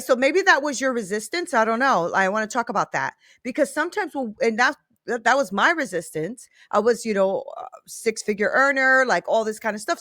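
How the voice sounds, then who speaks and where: Woman, talk show host, gushing and chatty, fairly high voice, dry close-miked sound. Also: fairly bright tone, no echo. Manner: enthusiastic, gushing, frequent "right?" and "like". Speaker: podcast host. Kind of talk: casual interview chat.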